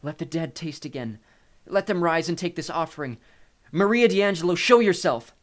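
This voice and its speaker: A male narrator plays a brooding young demigod boy in an audiobook story. He is defiant and demanding, with a loud, insistent voice pushed high. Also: lively intonation, moderate pace, lightly acted. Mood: commanding.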